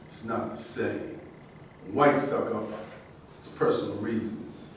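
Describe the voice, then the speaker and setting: Male actor as principled boxer, defiant, halting, insistent, distant recording, heavy echo. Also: fairly low pitch, steady tone, close to an everyday voice. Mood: impassioned. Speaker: actor in a staged reading. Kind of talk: live staged reading of a play. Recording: very echoey distant room recording, faint noise.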